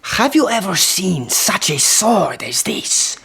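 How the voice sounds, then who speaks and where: Eager husky-voiced boy, swooping pitch. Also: big pitch swings, sharp tone, exaggerated voice. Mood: excited. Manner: formal, halting speech of a language learner. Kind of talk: radio historical drama acting.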